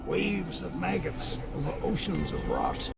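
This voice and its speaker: An actor playing a menacing man who delivers grotesque prophecies in a gravelly voice, in a dramatic film style. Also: huge pitch swings, heavily acted. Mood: ominous, menacing. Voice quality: gravelly, creaky. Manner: slow, swooping from plain talk to deep growl. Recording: loud music over the voice.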